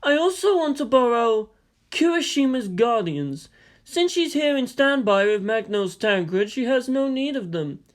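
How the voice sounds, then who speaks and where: A reader voicing a courteous, measured military adviser. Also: male voice, extremely high pitch, very animated, voice pushed up, exaggerated voice. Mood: polite, requesting. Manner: polite, measured, understated. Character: self-effacing, courteous male military adviser, tea-sipping strategist.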